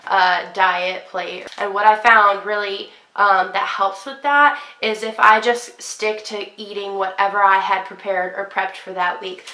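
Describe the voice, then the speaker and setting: A woman's voice: mid-range voice, pushed and bright. A young woman with a congested voice, chatting casually to camera about her fitness week, with plenty of ums. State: congested, sick. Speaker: fitness vlogger. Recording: echoey room, poor quality.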